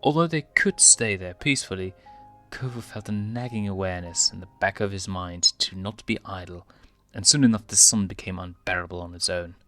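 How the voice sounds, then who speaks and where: A man narrates scripted fiction as a storyteller. His voice is deep, yet it has a sharp, forceful edge. Music plays faintly behind him. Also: a little fast.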